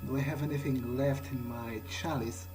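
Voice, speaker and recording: A man role-playing through a game in character, thinking aloud in a hesitant, halting, musing way. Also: medium pitch, steady tone, over music. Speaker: gaming video player, role-playing in character.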